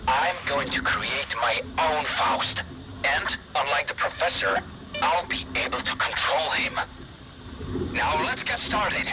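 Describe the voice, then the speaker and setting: An actor playing an unhinged, bitter and grandiose scientist in a video game, ranting theatrically over a noisy background with music. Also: male voice, mid-range voice, expressive, slow. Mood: brooding, scheming. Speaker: scientist character. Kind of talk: video game cutscene acting.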